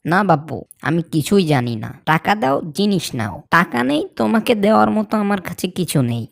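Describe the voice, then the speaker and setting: A man's voice: high-pitched, very animated, fairly quick, gravelly, heavily acted. A man voicing a curt, stubborn village shopkeeper in a cartoon folk tale. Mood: curt, refusing.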